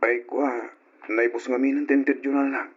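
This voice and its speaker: A man's voice: mid-range voice, level tone, unhurried, breathy edge, highs cut off. A man acting an apologetic, needy young father, hesitant before his parents, in an old Ilocano radio drama. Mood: hesitant begging. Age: young adult. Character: apologetic, needy grown son with a young family.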